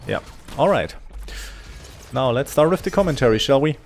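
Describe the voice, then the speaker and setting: A man's voice: mid-range voice, animated, moderate pace, vocal fry, soft background music. A man with a German accent, a native German speaker, giving unscripted video game commentary in a hesitant, thinking-aloud way. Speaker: gaming commentator.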